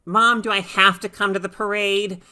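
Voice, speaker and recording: A woman's voice: medium pitch, steady tone, moderate pace, sharp tone, voice pushed up. A woman voicing a reluctant, sullen teenage girl with a whiny, pleading manner in a story reading. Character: reluctant, sullen schoolgirl of about fourteen.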